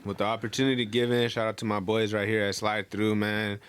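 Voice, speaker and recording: A man's voice: lowish voice, little pitch movement, brisk, a bit bright, smooth. A young man chatting casually as a podcast guest, laid-back and full of slang. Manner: laid-back, slangy, says "you know what I mean" a lot.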